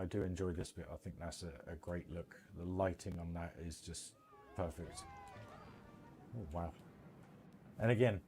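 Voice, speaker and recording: Low mellow guy chatting casually on a game stream, kinda halting, some background noise. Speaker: livestream host. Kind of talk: casual gaming livestream commentary.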